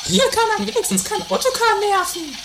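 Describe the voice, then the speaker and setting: A woman's voice: very high-pitched, very animated, brisk, noisy background. A woman playing a quarrelsome, theatrical sister in a comedy radio play. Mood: grudging. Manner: theatrical, bickering. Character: quarrelsome, dramatic woman, one of two castle-dwelling sisters.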